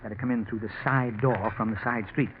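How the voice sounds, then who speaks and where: Smooth, nervous businessman plotter acted by a man, medium pitch, repeating himself politely; thin 1940s radio sound. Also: steady tone, brisk, natural voice. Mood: coaxing, evasive. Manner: genteel, coaxing, repeats words. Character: well-off, scheming businessman, smooth-talking and nervous. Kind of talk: 1940s radio mystery acting. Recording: scratchy, narrow-band 1940s radio recording.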